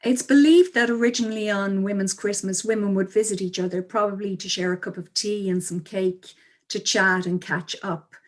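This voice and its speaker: Female interview guest. Explains culture and custom in a measured, articulate way. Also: medium pitch, steady tone.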